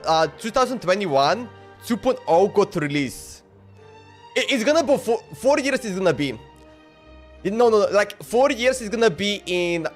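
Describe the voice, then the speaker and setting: A man livestreaming a game, chatting casually and rambling as he thinks aloud and repeats himself. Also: high voice. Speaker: game streamer.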